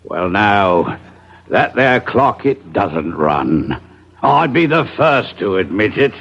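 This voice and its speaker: An actor playing a shabby, sly sailor, wheedling and folksy, in a 1970s radio drama. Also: male voice, on the low side, big pitch swings, slow pace, a bit bright, heavily acted. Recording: dull old radio broadcast.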